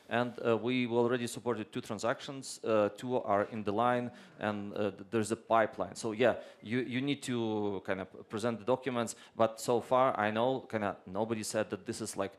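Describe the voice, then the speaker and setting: A man speaking as a government deputy minister on a panel, answering hesitantly with frequent restarts. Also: on the low side. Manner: hesitant, many restarts and fillers.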